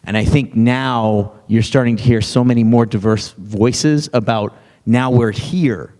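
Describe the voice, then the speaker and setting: A man's voice: mid-range voice, unhurried, darkish tone, big-room sound. A man on a writers' panel giving thoughtful closing remarks. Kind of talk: panel discussion. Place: lecture hall.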